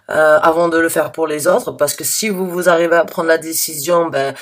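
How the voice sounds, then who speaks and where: A slightly hoarse woman giving a spontaneous tarot reading to her viewers, halting and restarting often, with earnest, reassuring advice. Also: sits low. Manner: hesitant, halting with many restarts and fillers. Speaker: tarot reader.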